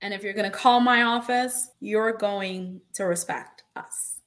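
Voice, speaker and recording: A woman's voice: mid-range voice, fairly even intonation, a little slow, fairly bright tone. A woman attorney hosting a casual conversation, speaking emphatically and repeating words to drive her point home. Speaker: host and attorney. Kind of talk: casual podcast-style conversation.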